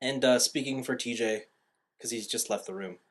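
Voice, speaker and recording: A man's voice: mid-range voice, moderate pace. A man hosting a casual movie review podcast, giving opinions and often rewording himself as he goes. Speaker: podcast host.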